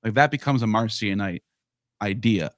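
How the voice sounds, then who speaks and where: A man's voice: on the low side, normal speed, no room sound, clean studio sound. A man in a casual podcast discussion, thinking aloud in bursts with frequent restarts. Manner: talks in bursts with many restarts. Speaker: podcast co-host or guest.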